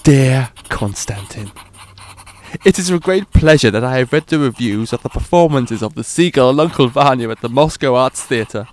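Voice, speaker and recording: A man's voice: mid-range voice, lively intonation, moderate pace, a little mellow, close to an everyday voice. A man voicing a pompous, dim male playwright reading his letters aloud. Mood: earnest. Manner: theatrical letter reading, drawn out.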